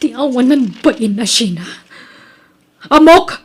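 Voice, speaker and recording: A woman's voice: high voice, lively intonation, measured pace, husky, full character voice. A woman acting a jealous, bitter, sarcastic wife in an Ilocano radio drama, with an Ilocano accent. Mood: accusing.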